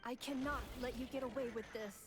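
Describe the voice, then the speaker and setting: A woman voicing a resolute, composed female robot in a video game, with measured delivery. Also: a little high, steady tone, natural voice. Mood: determined. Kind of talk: dramatic video game voice acting. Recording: loud game music behind.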